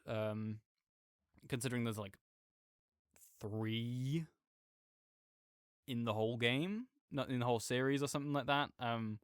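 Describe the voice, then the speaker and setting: A man's voice: mid-range voice, fairly bright tone, smooth, dry recording, clean studio sound. A man playing a chatty, nerdy roommate who rambles enthusiastically about a video game in a casual, digressive audio roleplay monologue. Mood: rambling explanatory.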